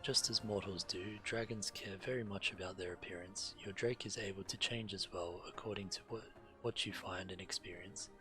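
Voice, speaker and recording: Low-voiced man streaming a fantasy game. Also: fairly even intonation, normal speed, hardly any pauses, sharp tone. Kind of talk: reading quest text aloud while playing. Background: fantasy game music.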